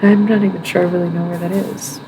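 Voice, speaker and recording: A woman hosting a livestream, rambling and thinking aloud, with many pauses and self-corrections. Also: lowish voice, level tone, background noise. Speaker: livestream host. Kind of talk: musing livestream chat.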